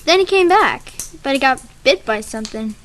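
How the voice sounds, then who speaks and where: A woman's voice: high-pitched, animated, normal speed, a bit bright. A woman playing an eager little girl, innocent and eerily matter-of-fact, in an old-time radio drama.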